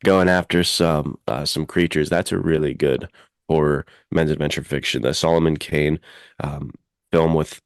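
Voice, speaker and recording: A man's voice: a bass voice, steady tone, normal speed, gravelly, clean tone, no room sound, clean studio sound. A man co-hosting a podcast in casual chat, informally and enthusiastically recommending things. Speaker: podcast co-host.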